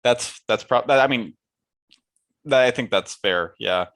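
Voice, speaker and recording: A man's voice: medium pitch, unhurried, a bit bright. A young man chatting casually as a podcast co-host. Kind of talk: casual chat.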